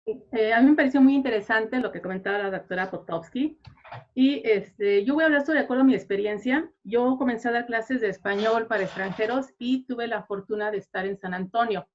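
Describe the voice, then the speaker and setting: A woman's voice: a little high, brisk, lo-fi sound, slight background noise. A woman teacher speaking conversationally at an academic panel, explaining things through personal anecdotes. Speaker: Spanish teacher.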